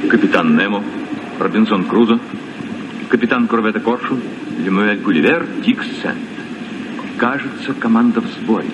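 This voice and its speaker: An actor playing a dignified, thoughtful sea captain in a Soviet radio drama. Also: male voice, lowish voice, normal speed, long pauses, highs cut off, natural voice. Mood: composed. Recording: noisy old radio recording.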